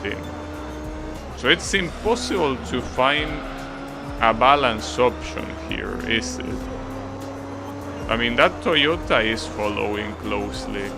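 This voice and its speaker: A man with an Argentine accent, casually commenting on his racing game to a live-stream chat in a relaxed, musing way. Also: medium pitch, takes it slowly, vocal fry, pauses often, music in the background.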